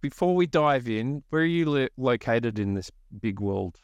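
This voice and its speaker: A man's voice: medium pitch, lively intonation, normal speed, clear, resonant tone, dry recording. A man with an Australian accent hosting a podcast in a casual interview style. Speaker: podcast host.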